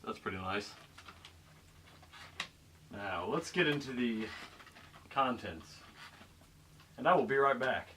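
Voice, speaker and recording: A man's voice: medium pitch, expressive, takes it slowly, pauses often, pushed and bright. Casual unboxing guy, noisy recording. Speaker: YouTube product reviewer and host. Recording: clear background noise, clicks.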